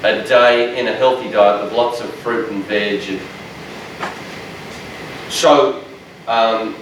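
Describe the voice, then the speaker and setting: A man, a dietitian giving a lecture to health workers in a large room, with a loud, strong voice and a passionate, joking manner. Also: fairly low pitch, steady tone, takes it slowly, background noise. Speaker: dietitian presenter. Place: large echoey training room. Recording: echoey room, speaker far from mic.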